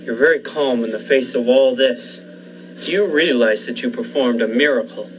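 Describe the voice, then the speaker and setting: A man's voice: mid-range voice, normal speed, lightly acted. Man acting an uneasy assistant, muffled noisy recording. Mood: grave, awed. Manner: hesitant, grave, apprehensive. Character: uneasy, doubting male assistant to a scientist. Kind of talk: 1940s radio thriller acting. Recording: muffled amateur radio play, clear background noise.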